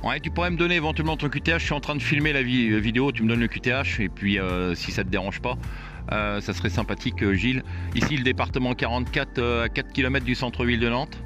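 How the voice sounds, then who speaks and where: A man's voice: medium pitch, fairly even intonation, fast talker, loud music behind the voice. A man chatting with other operators as an amateur radio operator. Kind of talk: radio contact chat.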